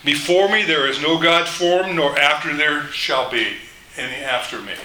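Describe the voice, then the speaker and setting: An older man preaching a sermon, reading scripture aloud with emphatic, drawn-out phrasing and a loud voice. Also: on the high side, poor audio. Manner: slow, emphatic delivery, rises when quoting scripture.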